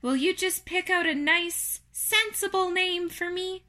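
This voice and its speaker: Storybook role: woman plays newborn girl spider, very high tiny voice, bright, chirpy and eager. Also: measured pace, heavily acted. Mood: cheerful. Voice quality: small, thin tiny voice. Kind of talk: children's storybook character reading.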